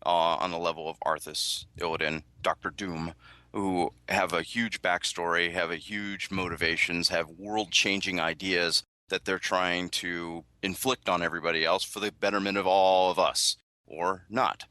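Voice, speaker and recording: Male panelist, very low but crisp-edged forceful voice, conversational analysis. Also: fairly even intonation, moderate pace, no echo. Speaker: podcast panelist.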